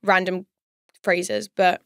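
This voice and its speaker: A woman's voice: fairly low pitch, little pitch movement, slow pace, smooth, no echo. Chatty young woman from Cornwall, dry sound. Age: young adult. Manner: chatty, fillers with "like", upbeat. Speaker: student host. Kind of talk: casual chat.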